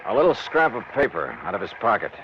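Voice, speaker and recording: An actor playing a hard-boiled, skeptical insurance investigator in a 1950s radio drama, clipped, pressing and wry. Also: male voice, on the low side, sing-song, quick pace, background noise. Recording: muffled vintage radio recording.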